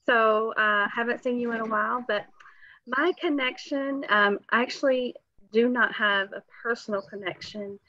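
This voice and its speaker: A woman who has worked in long-term care, introducing herself in a quick, chatty way on a webinar. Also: a little high, bright, forceful tone, dry recording. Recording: dry, lo-fi video call audio.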